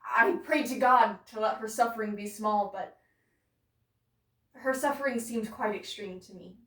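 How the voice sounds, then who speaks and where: A woman performing a stage monologue as a bitter, grieving, disillusioned woman, speaking deliberately with halting pauses in a roomy, distant recording. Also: on the high side, a bit bright. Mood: sorrowful, restrained. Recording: roomy echo, distant microphone.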